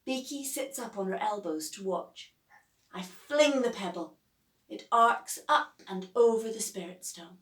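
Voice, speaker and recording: A woman reading a children's story aloud as its author, with expressive, measured and deliberate phrasing. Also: medium pitch. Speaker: author reading own novel. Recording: echoey room, distant from mic.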